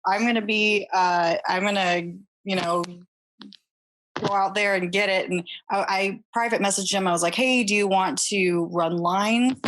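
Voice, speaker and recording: A woman guest on a podcast, chatting casually and telling stories in a halting, conversational way. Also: mid-range voice, fairly even intonation, fairly bright tone, low-quality recording. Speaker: podcast guest, amateur voice actor. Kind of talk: casual chat, telling an anecdote.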